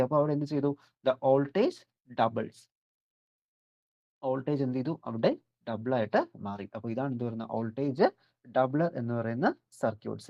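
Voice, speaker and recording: Malayali teacher doing an electronics lecture, talks quick, asks questions then answers them, muffled lo-fi audio. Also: medium pitch. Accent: Malayali (Kerala). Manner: mixes in English technical terms. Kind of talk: explanatory lecture worked step by step. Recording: dull, lo-fi audio.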